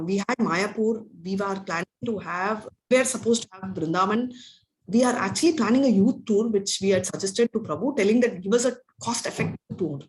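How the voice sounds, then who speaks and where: A woman's voice: mid-range voice, darkish tone, rough recording. Chatty woman teacher. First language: Telugu. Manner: chatty, rambling, often asks "right?". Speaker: spiritual teacher. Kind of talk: casual talk to an online class.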